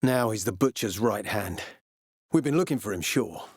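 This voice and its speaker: Game role: gruff military commander, suspicious, curt and threatening, profane streak, recorded dry. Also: male voice, lowish voice, lively intonation, moderate pace, darkish tone, no room sound. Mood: urgent, serious. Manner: terse, menacing, sardonic, profane. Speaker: video game voice actor. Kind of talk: video game character dialogue.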